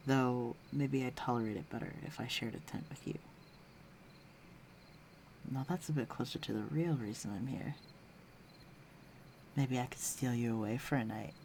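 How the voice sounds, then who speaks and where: A man's voice: medium pitch, fairly even intonation, normal speed, long pauses, a little mellow, slight background noise, natural voice. A man playing a flirtatious, teasing villain with a secretly tender side, speaking intimately to the listener in an audio roleplay. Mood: flirtatious, wry. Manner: teasing, coaxing, breathy murmurs.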